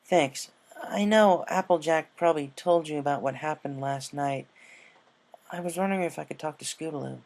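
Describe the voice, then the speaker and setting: A man voicing a brave young female pegasus, speaking softly and earnestly like a reassuring big sister in a story reading. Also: on the high side, normal speed, gravelly, voice pushed up. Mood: gentle, reassuring.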